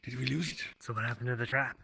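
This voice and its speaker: A gruff, frustrated monster hunter, played by a man in a fantasy roleplay. His voice is middling in pitch, sharp and forceful, with terse grumbling delivery over background noise. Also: steady tone, fast.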